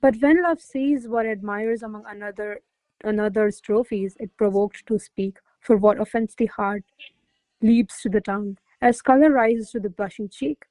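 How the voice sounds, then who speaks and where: An actress playing a haughty, quick-witted noblewoman in a classical comedy radio play, imperious, formal and articulate. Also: mid-range voice, a little mellow, dry recording, natural voice, low-quality recording. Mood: measured reciting. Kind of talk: stage-style radio play acting.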